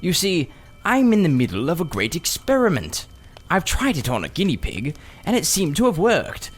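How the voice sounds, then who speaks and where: Man playing a sly, oily old gentleman magician. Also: a little high, huge pitch swings, pitched above the performer's own voice. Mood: coolly insistent. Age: older. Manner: unctuous, drawn-out, cunning politeness. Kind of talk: children's storybook character reading.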